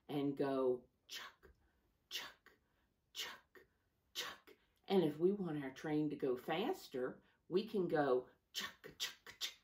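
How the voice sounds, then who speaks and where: A woman with a low voice reads a children's story at a slow, deliberate pace, a little away from the microphone. She sounds like a teacher at storytime, stressing key words and explaining things simply to young listeners. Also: a little mellow. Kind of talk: read-aloud storytime with simple asides to children. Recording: voice distant from the microphone.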